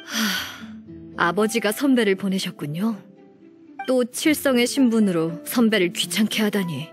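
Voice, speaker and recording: Game junior clerk voiced by a woman: earnest, deferential, mid-pitched, music underneath. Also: a little slow, lightly acted. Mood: troubled. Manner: polite, earnest, respectful. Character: earnest, deferential younger female office colleague, slightly flustered. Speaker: game character voice actor. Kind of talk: video game story voice acting.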